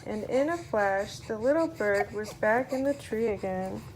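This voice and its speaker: A woman reading a children's story aloud to a small child in an expressive way, putting on voices for the characters. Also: mid-range voice, a little slow, a little hiss or hum, poor audio.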